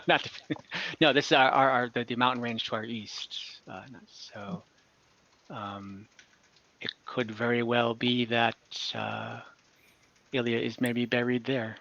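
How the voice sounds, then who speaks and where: A game master improvising tabletop game lore, speaking haltingly and searching for words. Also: medium pitch, bright, forceful tone, dull audio. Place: online group call.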